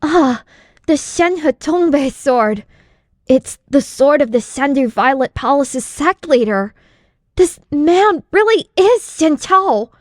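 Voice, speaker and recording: A woman voicing a young male martial arts disciple in an audiobook, deferential and excitable. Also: high-pitched, takes it slowly, no room sound, studio quality, voice pushed up. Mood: astonished. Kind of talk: audiobook character voice.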